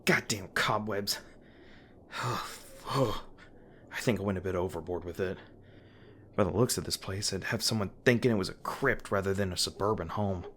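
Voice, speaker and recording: A man playing a weary, guarded monster hunter in an audio roleplay, gruff but caring, with halting, sardonic, sweary speech. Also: deep voice, fairly bright tone. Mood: wry, casual. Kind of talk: audio roleplay, one-sided dialogue to the listener. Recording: noisy ambient sound effects behind voice. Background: ambient sound effects.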